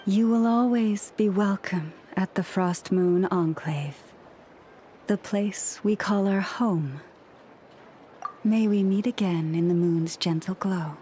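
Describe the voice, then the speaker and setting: A woman voicing a gentle young priestess with a formal, poetic, measured manner in a video game. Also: medium pitch, slight background noise, close to an everyday voice. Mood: warm, serene. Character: gentle, serene young priestess of a moon sect.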